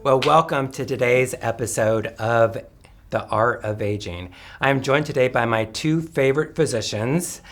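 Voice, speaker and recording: A man hosting a podcast, reading a scripted introduction in a smooth, announcer-like way before turning friendly with his guests. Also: medium pitch, normal speed, fairly bright tone, faint music behind. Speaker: podcast host.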